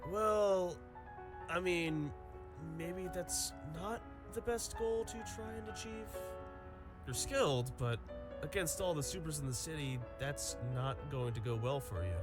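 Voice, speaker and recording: A man's voice: a little high, a little slow, loud music behind the voice, close to an everyday voice. A man playing a cheeky young villain's henchman in an audio drama, with a casual, playful delivery. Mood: casual, doubtful.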